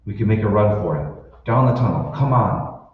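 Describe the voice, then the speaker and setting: A man's voice: fairly low pitch, lively intonation, normal speed, narrow, tinny audio, natural voice. A man playing a stubborn, desperate everyman hero in an old-time radio drama, speaking with urgency. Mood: urgent. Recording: echoey hall, distant, noisy.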